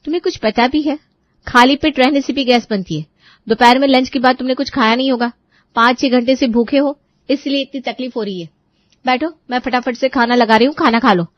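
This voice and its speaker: A woman's voice: high voice, fairly quick, no room sound, dull audio, voice pushed up, close to an everyday voice. A woman voicing a bossy, suspicious young wife who scolds and insists in a comic audio story. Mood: nagging concern.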